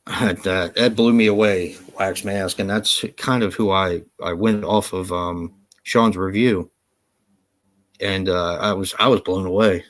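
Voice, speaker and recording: Low-voiced man chatting on a live show. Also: steady tone, a little slow, fairly bright tone. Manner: rambling, hesitant with many fillers; laughs easily. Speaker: podcast co-host. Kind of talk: casual live-stream chat. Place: home.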